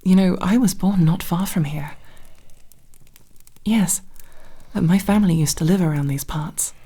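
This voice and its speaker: A woman playing a proud but nervous young elf rogue in an ASMR roleplay, with wry, halting delivery. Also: on the low side, dark tone, husky. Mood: nervous, relieved.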